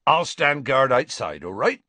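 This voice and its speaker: A man's voice: medium pitch, sing-song, a little slow, pushed and bright, no echo, pitched above the performer's own voice, full character voice. A man voicing a big, good-natured giant of a man, easygoing.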